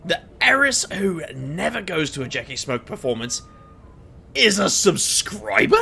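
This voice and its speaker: A woman's voice: fairly low pitch, sing-song, a little slow, gravelly, bright, forceful tone, slightly rough, full character voice. A woman playing a droll, teasing friend with a mock-dramatic manner in an audio drama.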